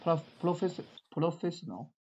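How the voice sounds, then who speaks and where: A man in his twenties with a Chinese accent speaks slowly and hesitantly, often restarting and repeating words. His voice is heard through dull, lo-fi video call audio from a small room. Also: fairly high pitch, animated, heavy background noise. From China. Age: 20s. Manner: halting, hesitant, repeats words while searching. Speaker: language learner and channel host. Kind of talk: casual video chat. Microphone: webcam on a video call.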